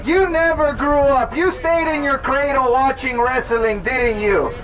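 A man preaching loudly outdoors to a street crowd, condemning and repetitive in manner. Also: extremely high pitch, unhurried. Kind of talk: open-air preaching to passing crowds. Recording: distant, muffled outdoor recording with street noise.

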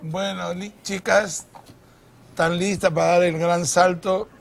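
A man's voice: sits high, fairly even intonation, slow, gravelly, exaggerated voice. A man with a Peruvian accent playing a fussy, mocking female talent show judge in a TV parody, teasing and interjecting. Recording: noisy studio, clicks.

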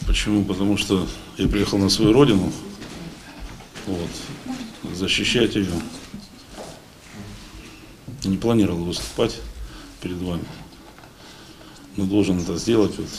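A man in his forties giving a halting, informal speech at a meeting, pausing often. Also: deep voice. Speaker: paratrooper unit member addressing a meeting. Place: meeting hall at a lectern. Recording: noisy room recording.

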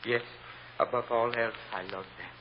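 An actor playing a troubled, humble immigrant witness, speaking halting, formal English in an old radio courtroom drama. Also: male voice, on the low side, steady tone, natural voice. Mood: guarded. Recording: muffled, noisy old radio recording.

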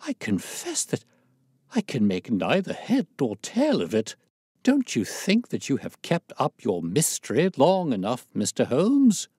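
A man's voice: medium pitch, huge pitch swings, measured pace, darkish tone, husky, no echo, pitched above the performer's own voice, heavily acted. An irascible older colonel from a storybook, as a male narrator puts him on. He blusters in a raised, swooping voice. His delivery is emphatic and easily agitated, in a very dry recording. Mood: gasping bewilderment. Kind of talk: audiobook character dialogue.

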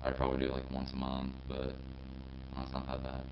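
A man's voice: extremely low pitch, brisk. A man's voice turned robotic and synthetic by a robot filter, talking in an interview. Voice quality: robotic, synthetic, monotone timbre. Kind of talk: interview about a viral video. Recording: muffled, noisy, echoing audio with hum.

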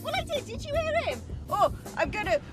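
A woman's voice: very high voice, sing-song, a little fast. A woman acting in a sitcom scene inside a car, giggling and fumbling her lines, with music under the clip. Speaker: actress in a sitcom outtake. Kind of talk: comedy acting with slips.

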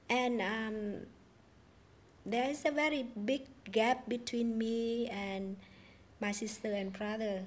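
Slow, measured woman from Vietnam. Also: mid-range voice, a little hiss or hum. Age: 40s. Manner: careful, measured, with hesitant fillers. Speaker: panel guest. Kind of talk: personal storytelling on a panel. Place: studio stage with a panel seated in chairs. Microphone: handheld microphone.

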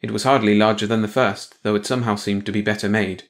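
Lowish-voiced man narrating a story. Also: steady tone, fast talker. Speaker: audiobook narrator. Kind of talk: scripted story narration, bedtime read-along.

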